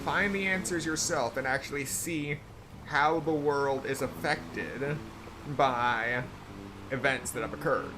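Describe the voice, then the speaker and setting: A man giving live game stream commentary in a deliberate, halting way. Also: medium pitch, noisy background. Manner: deliberate, halting phrasing with frequent throat clearing. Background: faint game music.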